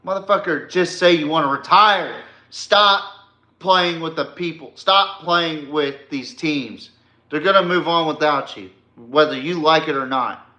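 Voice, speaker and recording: Male sports podcast host, forceful and slightly high voice, blunt and profane ranting, roomy echo. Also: a little slow. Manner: emphatic, addresses his subject directly. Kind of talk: opinionated sports rant. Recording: echoey, reverberant room.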